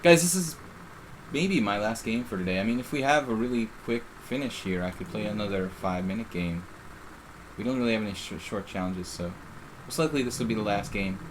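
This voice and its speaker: A man's voice: fairly low pitch, brisk, slight background noise, rough recording. A middle-aged man with a faint Philadelphia accent, casually commenting on his own live chess game in a rambling, self-interrupting way. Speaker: chess streamer.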